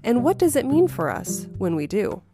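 A woman's voice: fairly high pitch, sing-song, normal speed, over music. A woman hosting an interview podcast, asking questions and introducing the topic. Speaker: podcast host.

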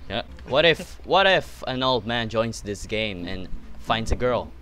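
A young man casually commenting while playing a social VR game, joking, laughing and breaking into loud outbursts. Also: medium pitch, expressive, a little slow, a little hiss or hum. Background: game music.

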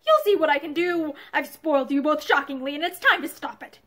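A woman's voice: sits high, a little fast, fairly bright tone. A woman voicing an angry, domineering middle-aged husband who talks harshly and savagely, in a storybook reading. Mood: raging.

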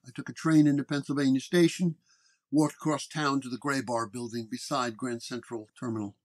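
A man's voice: mid-range voice, steady tone, a little fast, darkish tone, natural voice. A man playing a world-weary 1930s paranormal detective, dictating case notes in terse, hard-boiled radio narration. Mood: matter-of-fact.

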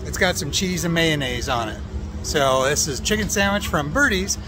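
A middle-aged American man reviewing food casually to camera in a halting, easygoing, understated way, recorded outdoors on a phone. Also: on the high side, animated. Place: outdoors at a fairground. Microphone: phone at arm's length. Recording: outdoor phone recording with ambient fairground noise.

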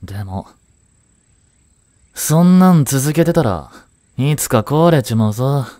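A man playing a laid-back, cheeky, protective male high school student in a romantic audio drama, with casual, slangy, reassuring delivery. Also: animated, slow, mellow, no echo. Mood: gentle, concerned.

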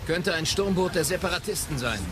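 Man playing an alert, lively Jedi master. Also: on the high side, fairly quick, background noise, natural voice. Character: alert male Jedi master, loyal battle companion. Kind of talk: audio drama acting.

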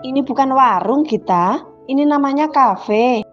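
A woman's voice: high-pitched, animated, a little slow. A woman voicing a fussy city mother who coaxes and sighs in exasperation. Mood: coaxing. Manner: coaxing, exasperated. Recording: dull lo-fi sound with music.

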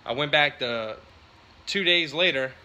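A man's voice: fairly high pitch, unhurried, bright, forceful tone. A man gives a casual, colloquial vlog talk at home, recorded at arm's length. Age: 30s. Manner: informal, colloquial. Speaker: fishing vlogger. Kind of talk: casual chat to camera. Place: indoors in a home living room. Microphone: phone or camera at arm's length.

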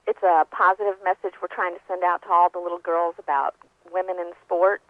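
A woman's voice: fairly low pitch, level tone, brisk, crowd noise. A woman speaking politely as a customer service representative during a phone conversation. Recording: tinny narrowband telephone line.

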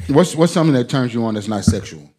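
A man's voice: medium pitch, expressive, quick pace, music in the background. A man co-hosting a podcast, butting in with crude jokes and questions on a studio desk mic. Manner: loud, crude, joking interjector. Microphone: desk mic on boom arm.

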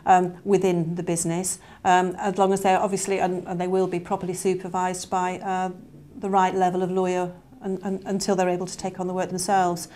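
A middle-aged British woman, a lawyer, answering interview questions in a measured, professional way. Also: fairly low pitch, monotone, warm tone. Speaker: lawyer at a law firm. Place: small plain indoor room.

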